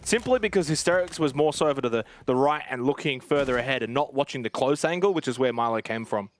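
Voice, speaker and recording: A man casting a live esports match, breaking down plays and getting louder on big moments. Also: medium pitch, fast, a little hiss or hum. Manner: analytical, rising excitement on big plays. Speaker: esports caster.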